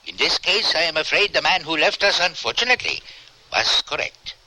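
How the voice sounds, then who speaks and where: An actor playing a solemn spirit medium doctor leading a seance, speaking slowly and formally in old-time radio drama style. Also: male voice, on the high side, sing-song, pushed and bright, full character voice, low-quality recording. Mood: dismissive. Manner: slow, incantatory, formal.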